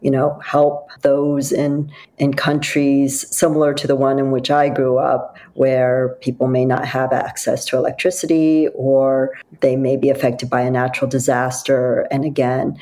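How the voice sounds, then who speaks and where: Deep-voiced woman, measured interview guest. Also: level tone, dark tone. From South Korea. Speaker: company executive guest. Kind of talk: interview answers.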